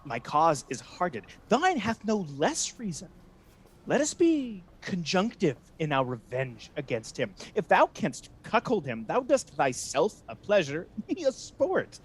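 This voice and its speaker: A man's voice: high-pitched, huge pitch swings, a little slow, breathy edge, heavily acted. A man playing a scheming, resentful Venetian ensign in a Shakespeare radio play, persuasive and theatrical. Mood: sly urging.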